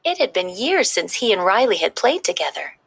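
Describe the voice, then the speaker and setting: Husky, expressive woman reading a storybook. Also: medium pitch, brisk. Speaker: children's story reader. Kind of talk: expressive scripted read-aloud narration.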